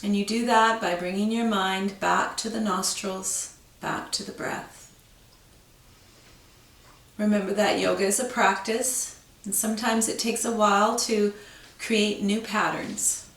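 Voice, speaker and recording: A woman teaching yoga, guiding a breathing meditation with slow, calm, soothing instructions. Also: mid-range voice, roomy sound, distant mic.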